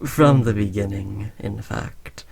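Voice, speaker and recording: A man's voice: fairly low pitch, gravelly, crowd noise, exaggerated voice. A man playing a smug, condescending yet indulgent alien overlord who conquered Earth, speaking to a servant in an imperious, teasing way in a roleplay audio drama. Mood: smug, amused. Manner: unhurried, imperious, teasingly patronizing.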